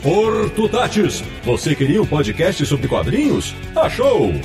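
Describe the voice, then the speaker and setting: A man's voice: fairly high pitch, very animated, measured pace. Theatrical male announcer over guitar music. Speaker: announcer of podcast intro. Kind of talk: scripted dramatic intro narration.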